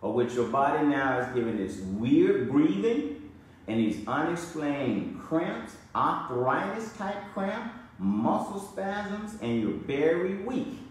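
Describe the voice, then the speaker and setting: Slow, emphatic man in an echoey clinic. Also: a little high, big pitch swings, a little hiss or hum. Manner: slow, emphatic, repeats key phrases. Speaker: doctor giving a health lecture. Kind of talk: filmed lecture to camera. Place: urgent care clinic room, indoors. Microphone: phone camera at a short distance.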